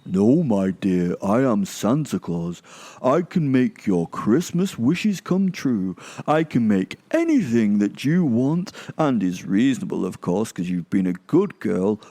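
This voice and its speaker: A man's voice: sing-song, measured pace, mellow, full character voice. A man playing a kindly old Santa figure in an audio drama, courtly and earnest yet easily flustered. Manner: hesitant, courtly, earnest booming. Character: old Santa Claus, jolly but flustered, kindly demigod.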